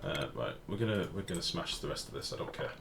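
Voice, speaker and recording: A man with a deep, booming voice giving casual, sweary live game commentary as a streamer and chatting with viewers. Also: very animated, fast talker, a bit bright. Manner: informal, sweary, reads and answers chat. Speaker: game livestreamer. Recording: clear background noise.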